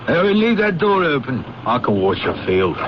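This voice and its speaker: An actor playing a gruff, evasive, menacing airfield owner, brusque and sly, in a 1970s radio drama. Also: male voice, medium pitch, sing-song, normal speed, close to an everyday voice. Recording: muffled, noisy old radio recording.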